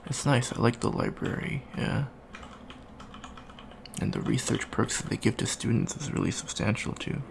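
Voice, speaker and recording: Gravelly, awkward young alien student voiced by a man. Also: medium pitch, fairly even intonation, normal speed, background noise. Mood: awkward, chatty. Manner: hesitant, stammering, awkward small talk. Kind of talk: improvised ASMR roleplay, casual chat.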